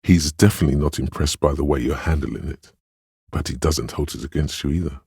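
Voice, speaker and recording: A man voicing a game's empathetic inner voice, a perceptive and tender narrator with a husky, very deep voice and measured, literary delivery. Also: a little mellow, no echo, clean studio sound, exaggerated voice. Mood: sympathetic, knowing. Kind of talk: scripted game narration.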